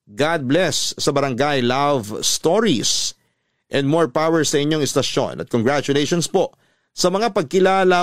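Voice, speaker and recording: A man's voice: mid-range voice, dry recording, clean studio sound. A man narrating a listener's letter in the first person as a scripted radio drama story.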